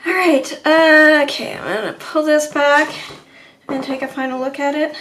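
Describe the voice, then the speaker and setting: A woman's voice: high voice, fairly even intonation, normal speed, fairly bright tone. A woman hosting a live watercolour painting stream, chatting with viewers in a rambling, laughing way. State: sore neck.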